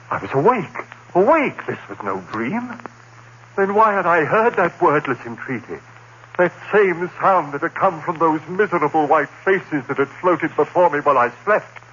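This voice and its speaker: A man playing a terrified condemned prisoner recounting his nightmare in halting, anguished phrases, in the style of 1940s radio horror. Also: a little high, sing-song, a bit bright, highs cut off. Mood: anxious bewilderment. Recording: noisy, band-limited old radio recording.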